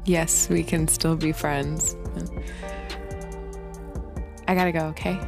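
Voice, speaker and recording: An earnest young American woman in a video game scene. She speaks haltingly in a lowish, creaky voice over clear background music. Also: little pitch movement. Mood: awkward, serious. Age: young adult. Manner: halting, restrained. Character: young woman awkwardly ending a relationship, earnest. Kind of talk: naturalistic game cutscene dialogue.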